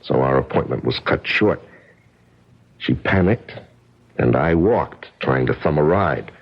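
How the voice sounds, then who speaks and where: An injured American drifter in a 1970s radio drama, evasive and wry. His voice is deep, with a lot of gravel, and he talks in a halting, colloquial way. The recording is muffled and lo-fi, like an old broadcast. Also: expressive, full character voice. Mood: confiding.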